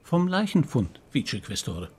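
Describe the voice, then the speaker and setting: An actor playing a tactful, sceptical police detective in a radio crime drama, measured and politely insistent. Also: male voice, medium pitch, very animated, darkish tone, slightly rough, full character voice. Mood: polite, guarded. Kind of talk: understated radio play acting.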